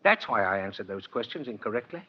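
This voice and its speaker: An older male actor playing a kindly, stubborn old Santa Claus in 1940s radio drama, with a husky voice and a genial, hesitant manner. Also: on the low side, very animated, quick pace. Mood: earnest, wry. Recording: dull vintage 1940s radio recording.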